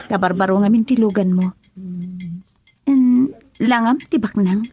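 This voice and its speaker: An actress with an Ilocano Filipino accent playing a frank, soft-hearted young woman in a radio drama. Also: mid-range voice, a little slow, a little hiss or hum, lightly acted. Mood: shy, frank.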